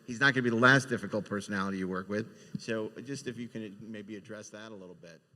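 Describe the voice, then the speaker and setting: Low-voiced man moderating in an echoey hall. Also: quick pace, pushed and bright. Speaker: panel moderator. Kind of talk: casual live panel questioning. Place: large indoor convention panel room. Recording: echoey hall with long reverb.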